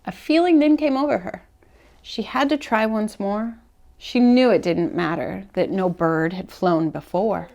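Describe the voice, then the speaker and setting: A woman reading a rhyming children's storybook aloud as a read-aloud host, with expressive, deliberate phrasing. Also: medium pitch, vocal fry.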